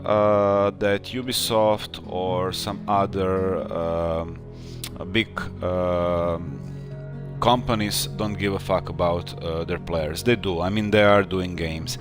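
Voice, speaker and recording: Slow-talking man game developer. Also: low-pitched, steady tone, music in the background. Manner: thoughtful, many fillers. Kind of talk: casual live stream chat.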